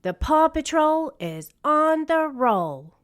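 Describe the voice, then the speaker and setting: A woman's voice: high voice, big pitch swings, slow pace, voice pushed up, full character voice. A woman voicing a confident, upbeat boy leader of rescue pups in a storybook, encouraging and decisive. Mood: upbeat, assured. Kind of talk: storybook character reading.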